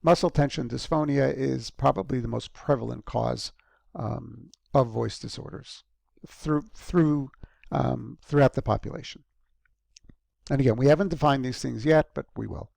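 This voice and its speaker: A male lecturer speaks to his students in a loose, conversational way, pausing on ums and correcting himself as he goes. His voice has a strong gravelly fry. The recording is close and completely dry. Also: medium pitch, a little mellow, no room sound. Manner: conversational, frequent ums and restarts. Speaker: university lecturer.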